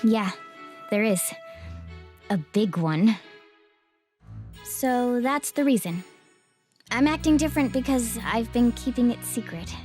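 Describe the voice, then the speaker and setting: Slow, hesitant, secretive young guide. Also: female voice, on the high side, lively intonation, a little mellow, soft background music. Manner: hesitant, halting. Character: reserved, secretive, gentle young event guide. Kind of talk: English anime game dub.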